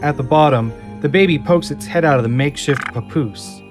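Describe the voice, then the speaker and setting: A man's voice: a little high, brisk. A man delivering dramatic scripted narration as the narrator of an audio drama. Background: music and sound effects.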